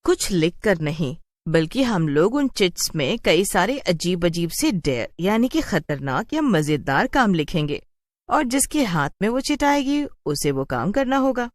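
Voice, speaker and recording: A woman voicing a plucky, chatty human woman in a cartoon horror story, speaking in an animated, coaxing way. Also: fairly low pitch, a little slow, no echo, studio quality. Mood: persuasive.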